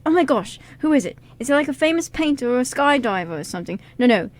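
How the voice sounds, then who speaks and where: A woman playing an earnest, excitable teenage boy who gushes and stammers in an amateur radio drama reading. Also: high-pitched, fast talker. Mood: excited, gushing. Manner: stammering, gushing, rambling.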